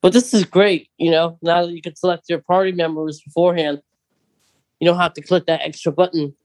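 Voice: medium pitch, level tone, a little fast, darkish tone. A podcast co-host chatting casually and conversationally about fandom news, often putting questions to a partner.

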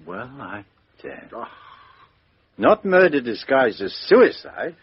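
A man's voice: mid-range voice, slow pace, very noisy. An actor playing a good-humoured middle-aged male police chief inspector in a 1950s radio drama, hearty in manner. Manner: hearty, lively. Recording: dull lo-fi 1950s radio recording.

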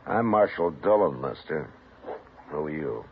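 An actor playing a stern, calm frontier marshal in an old radio western drama, firm and steady. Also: male voice, a bass voice, a little slow, a little hiss or hum, full character voice. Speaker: lawman. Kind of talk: 1950s radio drama acting. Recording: tinny old radio broadcast.

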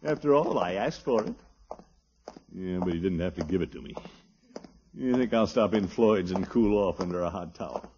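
An actor playing a pompous, easily flustered middle-aged uncle in a 1950s radio comedy, with a blustery, theatrical delivery. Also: male voice, low voice, moderate pace, pauses often, darkish tone, slight background noise. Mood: grumpy, flustered. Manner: blustery, theatrical, chuckling, exclamatory. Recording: dull, muffled old radio recording.

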